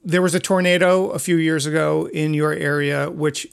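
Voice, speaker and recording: A man hosting a podcast, asking questions in a measured, halting conversational way. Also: a little high, steady tone, vocal fry, smooth. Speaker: podcast host, interviewer. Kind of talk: conversational interviewing.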